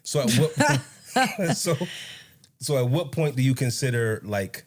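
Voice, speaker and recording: A man's voice: mid-range voice, expressive, takes it slowly. A man in his late thirties co-hosting a podcast with his wife. He talks in a laid-back, slangy, joking way. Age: 30s. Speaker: podcast co-host. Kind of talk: casual chat with his wife.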